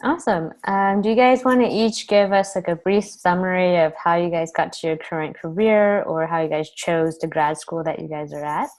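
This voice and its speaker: A woman's voice: fairly low pitch, fairly even intonation, moderate pace, hardly any pauses, darkish tone. Casting: young adult woman, casual webinar host, video call audio. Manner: casual, friendly, filler-heavy. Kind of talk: webinar hosting.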